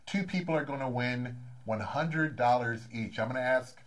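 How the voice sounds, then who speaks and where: A man's voice: medium pitch, normal speed, rough recording. A man from Wisconsin, a comedian hosting an interview, joking about himself and often restarting his sentences. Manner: jokey, self-deprecating, stammers on restarts. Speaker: comedian host. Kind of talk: casual interview chat.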